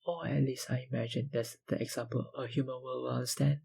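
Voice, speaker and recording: An actor playing a possessive, powerful vampire kidnapper in an ASMR roleplay, breathy and husky, with a dominant, condescending manner. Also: male voice, mid-range voice, little pitch movement, a little fast, darkish tone. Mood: obsessive, explaining. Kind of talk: ASMR roleplay, dramatic monologue.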